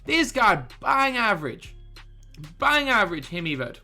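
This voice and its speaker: A man's voice: high-pitched, sing-song, a little slow, gravelly, sharp tone, faint music behind. A young man with a lisp from a swollen tongue, ranting in exasperated gaming commentary. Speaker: gaming streamer.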